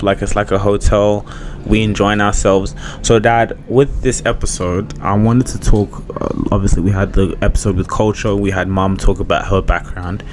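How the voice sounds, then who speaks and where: A young man, his voice a little low, hosting casually with upbeat, slangy enthusiasm. He questions his father on a cruise ship, with clear background noise around him. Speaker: podcast host, son. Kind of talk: casual family conversation. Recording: noisy background.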